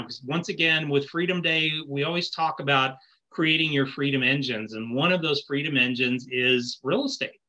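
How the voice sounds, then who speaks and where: Bright-voiced male podcast host. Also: mid-range voice, low-quality recording. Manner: upbeat, folksy and friendly, with many fillers. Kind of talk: podcast interview hosting.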